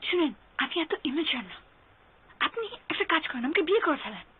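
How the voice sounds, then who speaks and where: Clingy young bangladeshi woman, dramatic and begging, high voice, thin phone-like sound. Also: sing-song, fairly quick. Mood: pleading. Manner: pleading, dramatic, mixes in English. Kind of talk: TV drama acting.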